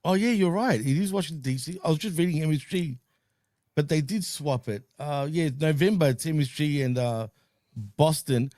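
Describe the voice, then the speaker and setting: A man with a slightly high voice hosts a wrestling podcast in an easygoing way. He laughs a lot and hedges his points. The sound is dry and close. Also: normal speed, dark tone, dry recording. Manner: laid-back, laughs often, hedges with fillers. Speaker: podcast host. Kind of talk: casual wrestling chat.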